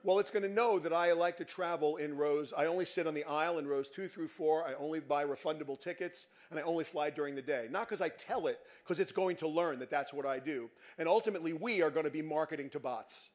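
A man's voice: fairly high pitch, quick pace, few pauses. A middle-aged man giving a conference keynote on a large stage, speaking emphatically and making confident predictions.

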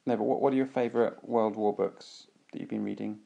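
A man with a British accent hosting a casual family podcast as a father, gently prompting his children with questions. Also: lowish voice, fairly even intonation, brisk, vocal fry, lo-fi sound.

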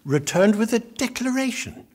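A man's voice: very high voice, lively intonation, moderate pace, darkish tone. A man playing an earnest, principled court notary in an audio drama, deferential but persistently questioning. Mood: troubled, insistent.

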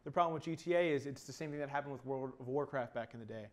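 A man's voice: mid-range voice, very fast. A man in his twenties giving opinions as co-host of a casual discussion about video games. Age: 20s. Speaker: talk show co-host. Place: small TV studio, seated.

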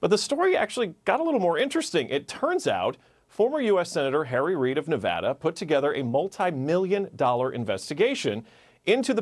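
A man in his thirties reports from a studio on a lapel mic, his fairly high voice bouncing around a wide range. He reads a script but slips in wry, chatty asides. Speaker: news correspondent. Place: TV news studio.

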